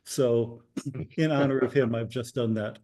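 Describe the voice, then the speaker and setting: A man hosting a video call who calls on people by name and often clears his throat. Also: medium pitch, fairly even intonation, a little slow, mellow. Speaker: meeting host.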